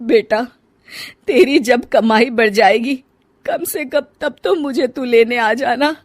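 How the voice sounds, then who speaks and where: A woman's voice: fairly high pitch, takes it slowly, no room sound, studio quality. A woman playing a proud, sorrowful, stubborn ageing mother-in-law in a cartoon story, plaintive and grumbling. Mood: wistful, sad. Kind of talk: cartoon story character dialogue.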